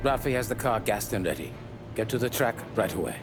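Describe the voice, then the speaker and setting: A man's voice: lowish voice, animated, brisk, loud music behind the voice. A man playing a businesslike, firm middle-aged mob adviser with an American accent. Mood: firm, matter-of-fact.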